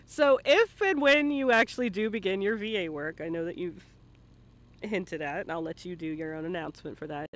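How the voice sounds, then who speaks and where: A man's voice: high voice, normal speed, a bit bright. A man hosting a casual live-stream interview, chatting with playful, teasing banter. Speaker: interviewer and show host.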